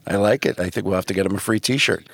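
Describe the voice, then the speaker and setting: Low male voice, dry close sound, conversational podcast co-host. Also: quick pace, fairly bright tone, dry recording.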